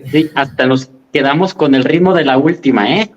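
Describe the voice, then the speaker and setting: A man's voice: mid-range voice, moderate pace, highs cut off, a little hiss or hum. A man speaking casually as a host of an online religious gathering.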